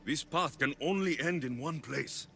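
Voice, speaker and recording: A man's voice: fairly high pitch, a little slow, gravelly, bright, forceful tone, soft background music, full character voice. An actor playing a fierce, determined Greek warrior and father in a video game, intense and threatening. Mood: grim threat. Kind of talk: video game cutscene acting.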